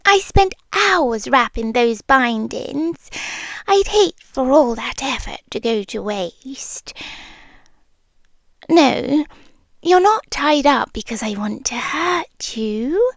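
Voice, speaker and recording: Woman playing a very slow, sweetly menacing British spider monster. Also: high-pitched, big pitch swings, no echo, heavily acted. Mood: coaxing, possessive. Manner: soft, slow, often whispering, sweetly menacing. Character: possessive, doting female spider monster with captive human. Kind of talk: intimate ASMR roleplay.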